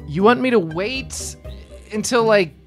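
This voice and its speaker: A woman's voice: mid-range voice, expressive, unhurried, soft background music, lightly acted. A woman reading an awkward, hesitant young male journalist at a studio microphone in a table read.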